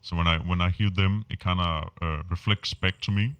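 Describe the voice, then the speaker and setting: Deep-voiced Danish man, halting speech. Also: fairly even intonation, a bit bright, dry recording. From Denmark. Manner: halting, many fillers and restarts. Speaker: podcast guest, musician. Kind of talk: casual interview chat.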